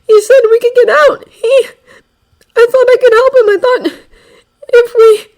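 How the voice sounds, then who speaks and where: A woman voicing a betrayed teenage boy who is crying, with a hoarse, rasping voice. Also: extremely high pitch, moderate pace, fairly bright tone, voice pushed up. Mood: sobbing, bitter.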